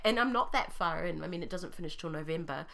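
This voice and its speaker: A woman with a New Zealand accent and a croaky voice, co-hosting a podcast and telling a story in an animated, laughing way. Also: lowish voice, quick pace. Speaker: podcast co-host. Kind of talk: casual chat.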